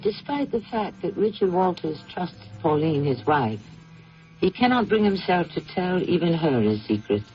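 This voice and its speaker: An American man hosting and narrating a radio drama, reading the script in a measured, grave, dramatic manner. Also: high voice, very animated, soft background music. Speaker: host and narrator. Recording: dull old radio broadcast.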